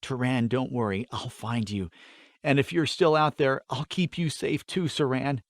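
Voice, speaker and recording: A man's voice: medium pitch, normal speed, no room sound, lightly acted. A man acts out a young fantasy hero, wounded but determined. He speaks earnestly, like someone making solemn vows, in a dry, close audiobook recording. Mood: sorrowful. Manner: earnest, vowing.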